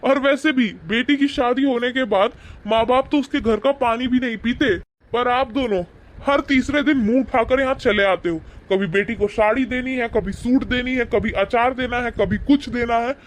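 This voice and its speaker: A man voicing an angry young husband in an animated moral story, rude, accusing and indignant. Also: very high-pitched, normal speed. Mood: rude, irritated. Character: angry young husband scolding wife and mother-in-law.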